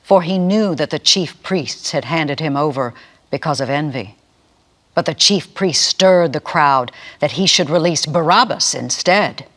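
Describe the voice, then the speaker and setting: A narrator giving a dramatic scripture reading in a measured, solemn manner. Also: mid-range voice, fairly bright tone.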